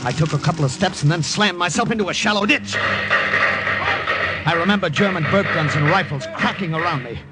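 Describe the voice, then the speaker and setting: An actor playing a tough, resourceful secret agent narrating his mission in an old radio drama, terse and matter-of-fact. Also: on the high side. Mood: tense, recounting. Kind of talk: dramatic first-person radio narration, 1940s-50s. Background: dramatic music.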